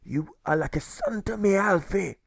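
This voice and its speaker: A man's voice: high voice, normal speed, creaky, breathy edge, dry recording, studio quality, pitched above the performer's own voice, exaggerated voice. A man voicing a tender, fatherly German man in an audiobook story. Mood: tender, pleased.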